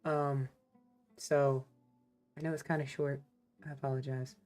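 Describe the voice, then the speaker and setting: A woman's voice: very deep voice, little pitch movement, a little slow, darkish tone, faint music behind. A woman streamer chatting casually while playing a game, laid-back and chatty. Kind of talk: casual gameplay commentary.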